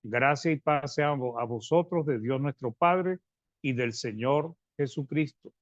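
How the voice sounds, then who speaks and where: A man preaching a Bible-study sermon, reading verses and explaining them, calling his listeners brothers, with halting restarts and phrase ends that fade quietly. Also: medium pitch, dry recording. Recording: dry, slightly dull sound.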